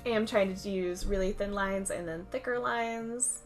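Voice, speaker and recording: Chatty art vlogger, a woman with a touch of cold rasp, mid-pitched, self-critical step-by-step voice-over, soft music underneath. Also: moderate pace. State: slightly raspy from a seasonal cold. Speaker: art channel host. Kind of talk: voice-over narration of a drawing process.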